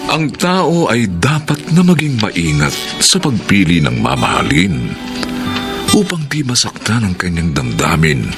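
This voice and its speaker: A low-pitched man with a Filipino accent announces a radio drama in a solemn, theatrical manner. He speaks slowly with hugely sweeping intonation and some gravelly creak. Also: wildly swooping pitch, over loud music. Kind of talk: scripted dramatic radio narration.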